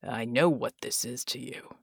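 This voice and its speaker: A woman voicing a world-weary male mercenary who talks bluntly in a low, gravelly drawl. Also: unhurried, dry recording, clean studio sound, heavily acted. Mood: gruff.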